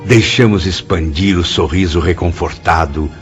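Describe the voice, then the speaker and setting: An actor voices a reflective spirit man narrating a radio drama with a Brazilian accent. His deep voice moves dramatically through literary phrases, slightly muffled over clear background music. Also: moderate pace, lightly acted. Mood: reverent. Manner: grand, literary, expressive. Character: grateful, reflective male spirit recounting his afterlife.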